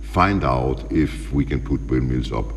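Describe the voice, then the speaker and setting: A middle-aged man from Denmark with a Danish accent, a studio guest chatting in halting English, hesitant, with many fillers and restarts as he searches for words. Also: extremely low pitch, in a large hall, slight background noise. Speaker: general manager, studio guest. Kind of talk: casual interview chat in halting English.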